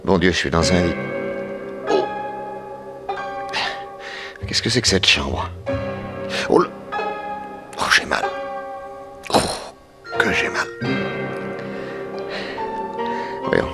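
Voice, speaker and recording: An actor playing a brisk, commanding male private detective in a 1960s French radio crime serial. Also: low-pitched, animated, over loud music, heavily acted. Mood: dazed, in pain. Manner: urgent, clipped questioning, reassuring orders.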